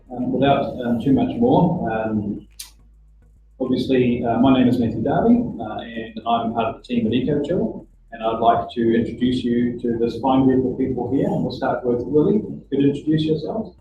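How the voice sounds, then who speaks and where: A middle-aged man with a New Zealand accent hosts a live panel at a technical institute and halts often on ums and uhs. He sounds far from the mic in a very echoey room. The recording is dull, with music playing clearly behind him. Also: mid-range voice. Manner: halting, many ums and uhs. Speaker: host. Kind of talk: live-streamed panel chat. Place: teaching workshop at a technical institute.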